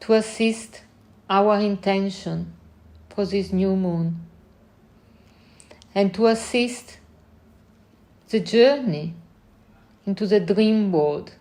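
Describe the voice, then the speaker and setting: A woman guides a meditation at a very slow pace. She speaks gently in short phrases and leaves long pauses between them, with a mid-range pitch.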